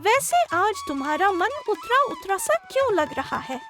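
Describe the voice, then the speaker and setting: A scheming village neighbour from a cartoon tale, voiced by a woman. She is chatty and conspiratorial, very high in pitch, with clear music behind her. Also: very animated, moderate pace. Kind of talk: acted cartoon storytelling.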